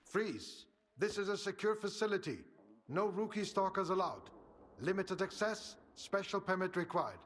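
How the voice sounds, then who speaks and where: A man's voice: sits high, animated, normal speed, a bit bright, a little hiss or hum. A man playing an officious guard at a secure facility in a video game. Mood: stern, barking.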